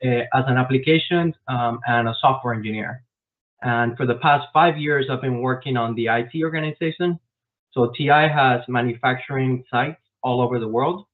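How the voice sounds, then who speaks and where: A man raised in Miami answering interview questions about his work as a software developer, explaining step by step with frequent "um" fillers, over a video call. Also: mid-range voice, moderate pace. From grew up in Miami, Florida. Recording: tinny phone-like online call.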